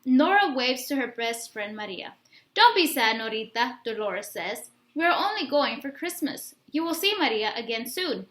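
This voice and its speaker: Woman teacher doing a kids read aloud, high pitched voice, expressive, bit of an echoey room. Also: normal speed. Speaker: teacher reading aloud to children. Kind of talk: picture-book read-aloud with questions to young listeners. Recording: roomy, echoey room sound.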